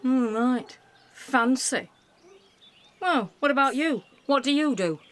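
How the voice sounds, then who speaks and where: Woman acting cheeky, lively gossip. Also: sits high, slow pace. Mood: nosy, gossipy. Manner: chatty, blunt, informal. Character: nosy, cheeky, blokes-loving wartime delivery woman. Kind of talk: amateur radio play acting.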